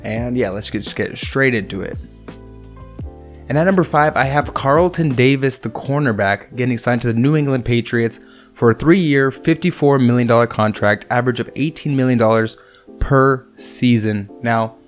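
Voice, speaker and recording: A hoarse young man, getting over a sickness, hosting a casual, opinionated monologue about sports signings. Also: medium pitch, normal speed. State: hoarse from illness. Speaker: host. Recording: faint music underneath. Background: soft background music.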